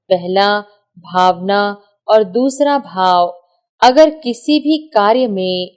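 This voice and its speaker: A woman reading a scripted devotional discourse in measured, deliberate phrases with short pauses. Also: mid-range voice, darkish tone, clean tone. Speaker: reader of a spiritual discourse.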